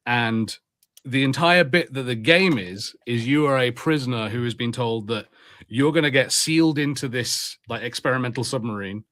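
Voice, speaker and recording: A man co-hosting a casual podcast, talking in long animated rambles at a medium pitch. His voice is recorded dry and close, with no room sound. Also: normal speed, no room sound. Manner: rambling, animated, laughs easily. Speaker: podcast co-host.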